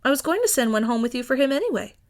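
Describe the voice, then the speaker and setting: A woman voicing a sweet, dreamy, easygoing woman in an audiobook, cheerful and gently teasing. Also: high voice, sing-song, rapid-fire, pitched above the performer's own voice. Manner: bright-mannered, dithering, teasing.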